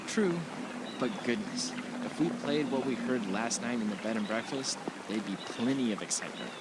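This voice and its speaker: A man's voice: mid-range voice, moderate pace, noisy background, lightly acted. A man playing a wary, reluctant partner in an audio drama, in an everyday voice. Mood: grumbling, reluctant. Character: wary, reluctant male partner of an adventurous woman.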